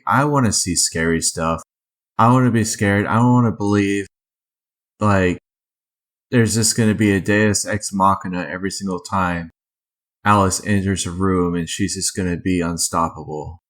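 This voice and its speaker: A man hosting a casual review commentary, talking informally and offering his opinions as he goes. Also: fairly low pitch. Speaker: video host ranking films.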